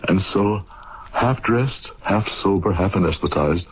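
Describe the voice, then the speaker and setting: A man's voice: low voice, animated, a little slow, lo-fi sound, a little hiss or hum. An actor playing an earnest medical man in an old-time radio drama, formal and theatrical in his speech. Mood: rueful. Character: earnest young medical student, later a rueful narrator. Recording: old radio recording with music bed.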